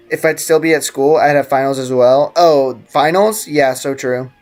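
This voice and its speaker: A man's voice: medium pitch, measured pace, a little mellow, soft background music. A man livestreaming a game, chatting casually with slang and teasing his viewers. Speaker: game streamer. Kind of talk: casual livestream gaming chat, reacting to game and chat.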